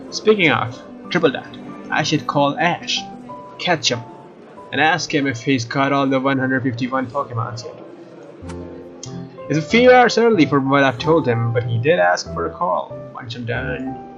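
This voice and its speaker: A man reading a visual novel aloud as a let's player, expressive, with informal asides. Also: medium pitch. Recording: muffled sound under loud music. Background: loud game music.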